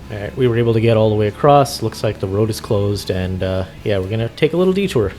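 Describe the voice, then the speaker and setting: A man's voice: on the low side, animated, fast, a little mellow, faint music behind. A man chatting casually and unscripted as he plays a trucker in a game, easygoing and a little hesitant. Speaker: gaming host playing a trucker. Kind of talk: casual unscripted gameplay chat.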